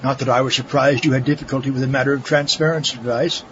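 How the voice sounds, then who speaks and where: A man's voice: medium pitch, fairly even intonation, fast talker, a bit bright, highs cut off, a little hiss or hum, natural voice. An actor playing an eccentric, touchy male mad scientist with a theatrical, prickly manner in a comic audio drama. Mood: smug, taunting.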